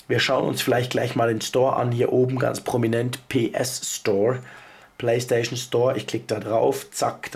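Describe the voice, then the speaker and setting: A man, hoarse from a cold, casually reviewing a handheld gadget and sounding a little sarcastic about its maker. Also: mid-range voice. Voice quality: gravelly. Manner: chatty, offhand, mildly sarcastic about the maker. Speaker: tech video host. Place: indoors at a table, off camera.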